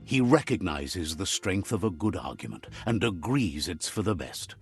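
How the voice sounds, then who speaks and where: A man narrates a video game like a storybook, relaying what each character says in a measured way. His voice sits low but moves with a lively, expressive melody. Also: soft background music.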